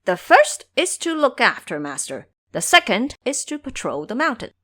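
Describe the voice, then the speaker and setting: Scheming male monkey disciple, played by a woman, cocky and commanding, very lively pitch, audio drama. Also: normal speed, exaggerated voice. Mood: bossy.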